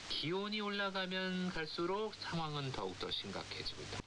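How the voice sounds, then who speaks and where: Guy hosting radio, chatty explainer, really lively intonation, lots of shortwave hiss. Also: sits high, a little fast. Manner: explanatory, conversational, frequent interjections of agreement. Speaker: radio co-host. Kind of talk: conversational radio segment explaining new words. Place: radio broadcast heard over shortwave with static hiss. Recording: hissy, noisy shortwave radio recording.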